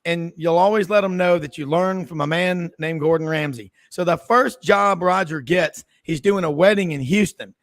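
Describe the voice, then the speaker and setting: A man's voice: fairly high pitch, normal speed, few pauses, fairly bright tone, dry recording, clean studio sound. A man hosting a sports radio show, telling a story in a chatty, easygoing way and laughing often. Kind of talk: casual radio chat.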